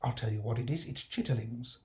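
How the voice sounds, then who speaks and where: A man's voice: mid-range voice, a little fast, darkish tone, close to an everyday voice. Man acting a kindly, musing old working-class father. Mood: pondering. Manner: musing, fond, homely dialect. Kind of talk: acted classic storybook reading.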